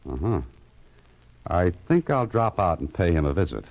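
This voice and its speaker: An actor playing a wry, cool-headed private investigator in 1940s radio style, with a sardonic way of talking. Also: male voice, sits low, very animated, normal speed, darkish tone. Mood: dry, questioning. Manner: sardonic, talks to himself in narrated asides. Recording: dull vintage radio recording, music behind.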